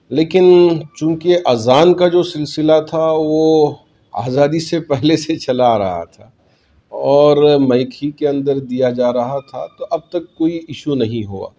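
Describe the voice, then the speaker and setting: Male cleric, dark soft-edged tone, measured formal delivery, public appeal. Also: medium pitch, muffled recording. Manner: measured, formal, Arabic-laced religious Urdu phrasing. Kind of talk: spoken public statement to community.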